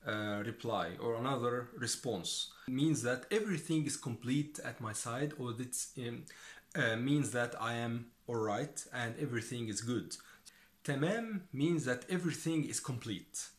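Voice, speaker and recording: A man with an Egyptian accent, a native Arabic speaker, giving a language lesson as a teacher and deliberately repeating words and phrases as he explains them. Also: mid-range voice, reverberant.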